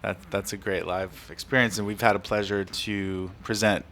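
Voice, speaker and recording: Casting: man, lowish voice, casual interviewer with a supportive, informal delivery. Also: little pitch movement, normal speed, a bit bright. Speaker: interviewer, music show host.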